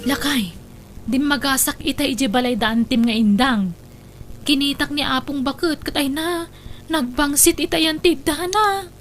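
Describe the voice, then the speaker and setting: A woman with an Ilocano accent acting in a comedy drama, talking in a sharp-tongued, defensive way. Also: high voice, measured pace, over music. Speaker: drama voice actor, daughter-in-law caring for an old mother.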